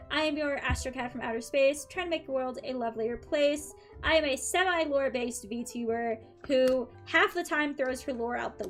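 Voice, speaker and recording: A young woman streaming, chatting casually and upbeat with her viewers between tarot readings, her throat a little dry. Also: high-pitched. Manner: chatty and upbeat, frequent "um" and light laughs. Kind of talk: casual live-stream chat.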